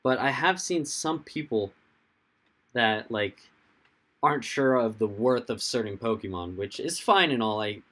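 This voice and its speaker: A young man with a hoarse, fading voice, hosting a casual livestream, rambling and answering viewers' chat comments as they come in. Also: medium pitch, expressive, a little slow, a bit bright. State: hoarse, losing his voice. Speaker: livestream host.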